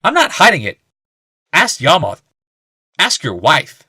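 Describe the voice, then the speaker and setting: Man doing a weary, defensive young male attendant, higher pitched. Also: wildly swooping pitch, a little slow, pushed and bright, husky, no echo, clean studio sound, heavily acted.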